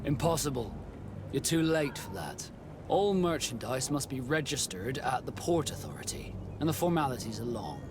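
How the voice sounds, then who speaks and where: A man playing a proud, guarded sea captain in a video game, speaking in a formal, measured, dry way. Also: mid-range voice, lively intonation, music in the background, close to an everyday voice. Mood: firm, dry.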